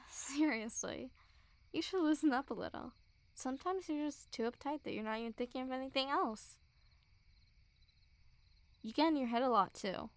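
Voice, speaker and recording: A woman's voice: high voice, measured pace, pauses often, slight background noise. A young woman playing a smug, mocking school bully girl who teases with a hint of care, in an intimate ASMR roleplay. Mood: taunting, then grudging, softer. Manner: mocking, teasing, giggly. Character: teasing, smug school bully girl, secretly a little caring. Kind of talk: ASMR girlfriend roleplay, intimate one-sided dialogue.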